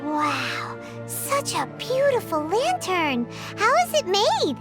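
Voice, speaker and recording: An extremely high, squeaky little fairy, chatters as an excitable video game guide. The delivery swings widely in pitch, cheerful and childlike, over clearly audible music. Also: female voice, slow pace, exaggerated voice. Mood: curious, excited. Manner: refers to herself in the third person, exaggerated exclamations. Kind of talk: animated video game character acting.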